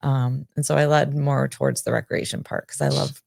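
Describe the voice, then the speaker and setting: Woman in her 40s chatting about her life, lots of ums, low voice with some vocal fry. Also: a little fast, no room sound, studio quality. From Michigan. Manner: friendly, relaxed storyteller with many fillers. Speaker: guest, nonprofit director. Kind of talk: casual interview.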